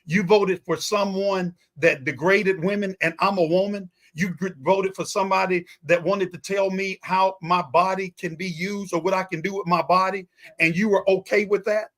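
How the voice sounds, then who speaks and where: A man's voice: high-pitched, steady tone, moderate pace, fairly bright tone. A man speaking as a guest pastor in a talk-show discussion, emphatic and repetitive with a preaching cadence.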